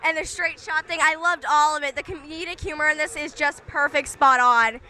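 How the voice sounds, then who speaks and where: A woman's voice: sits high, fairly even intonation, brisk, bright, forceful tone. A teenage girl interviewing filmmakers as a kid film critic, enthusiastic and full of gushing praise. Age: teens. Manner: enthusiastic, gushing, filler-heavy. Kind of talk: red-carpet interview.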